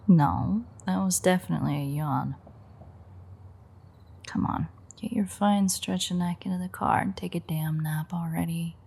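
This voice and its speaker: A woman playing a playful, teasing vampire with dark predatory confidence in an intimate, sardonic audio roleplay, her voice husky and breathy. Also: on the low side, measured pace, full character voice. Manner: teasing, sardonic, swearing banter.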